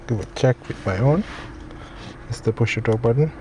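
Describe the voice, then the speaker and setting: A man giving a casual, low-key and somewhat hesitant unboxing and installation walkthrough. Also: normal speed. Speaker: vlogger demonstrating a product. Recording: clearly noisy, vehicle ambience.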